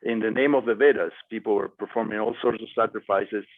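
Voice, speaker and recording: A man speaking as a guest to a class and answering student questions, with many pauses and fillers. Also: fairly low pitch, steady tone, dry recording. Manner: hesitant, many fillers and restarts. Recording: dry tinny phone-like audio.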